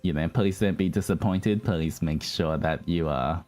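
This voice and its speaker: A man's voice: low-pitched, normal speed, a little mellow. A man hosting a livestream, chatting casually and in a rambling way to his viewers, whom he addresses as guys. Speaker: livestream host, virtual streamer. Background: background music.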